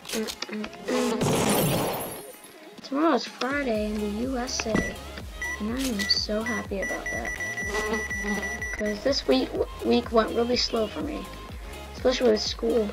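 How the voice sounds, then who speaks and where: Child gamer, about eleven, creaky strained voice, casual rambling commentary, music in background. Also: medium pitch, steady tone, a little slow, pauses often, low-quality recording. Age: child, almost twelve. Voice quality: slightly strained, voice wearing out. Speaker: gaming video host.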